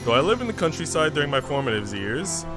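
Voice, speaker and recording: A man voicing a kindly, wry young man in a visual novel, reading in measured, gently chiding prose. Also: a little high, sing-song. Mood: reflective. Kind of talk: visual novel narration and dialogue read aloud. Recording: background music.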